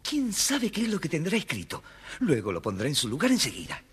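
An actor playing a clever, polite, bookish young lad in an audio storybook, raspy and earnestly exclamatory. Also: male voice, fairly high pitch, huge pitch swings, brisk, slight background noise. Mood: curious. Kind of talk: scripted audio storybook acting.

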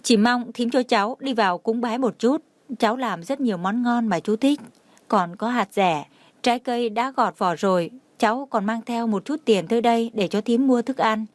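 A woman's voice: on the high side, fairly quick, a little mellow, no room sound, close to an everyday voice. A woman voicing a humble, remorseful young woman in an audiobook, pleading tearfully for forgiveness.

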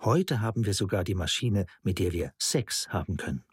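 A man's voice: low voice, lively intonation, moderate pace, breathy edge, no room sound, studio quality. A man reading a scripted literary radio essay as its narrator.

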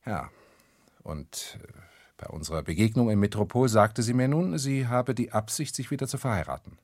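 Stiff careful lawyer in a radio play, lowish voice, very dry close sound. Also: male voice, expressive, moderate pace, darkish tone, no room sound. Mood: guarded, formal. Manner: precise, clipped, formal answers. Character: guarded, formal male lawyer, junior partner of a firm. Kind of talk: radio crime play acting.

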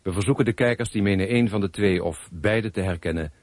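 A man playing a formal television announcer in a radio drama, reading an appeal in a husky voice. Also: fairly low pitch, quick pace, a little mellow, no echo, lightly acted.

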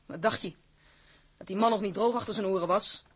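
An actress playing a bold, loyal captain's wife in a Dutch period drama, blunt and defiant in manner. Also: female voice, mid-range voice, brisk, husky, natural voice. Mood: defiant, determined.

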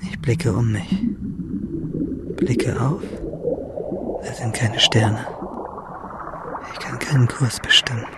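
Exhausted shipwrecked sailor, gravelly and halting. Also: male voice, mid-range voice, fairly even intonation, heavy background noise. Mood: exhausted, fearful. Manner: halting, rambling, breathy whispers breaking into pleading. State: cold, exhausted. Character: freezing shipwrecked fisherman, delirious, alone at sea, facing death. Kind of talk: radio drama monologue.

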